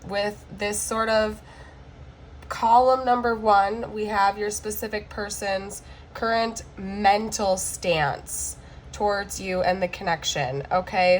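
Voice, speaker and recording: A woman recovering from the flu, with a hoarse, cracking voice, giving a chatty tarot card reading to camera and checking in often with "okay?". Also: medium pitch, a little hiss or hum, rough recording.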